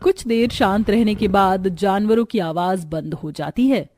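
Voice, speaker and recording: Female narrator, average pitch, scripted storytelling read for a tale. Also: unhurried, darkish tone, slight background noise.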